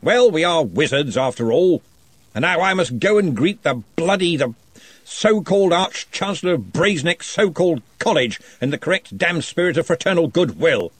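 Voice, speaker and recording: A man voicing a loud, brusque old wizard chief in a storybook reading, bellowing and blustering. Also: fairly high pitch, big pitch swings, moderate pace, breathy edge, dry recording, voice pushed up.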